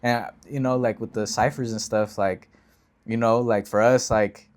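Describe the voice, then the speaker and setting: Laid-back young man, low voice. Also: fairly even intonation, normal speed, a little hiss or hum. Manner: laid-back, heavy swearing and slang, storytelling. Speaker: podcast guest. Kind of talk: casual chat.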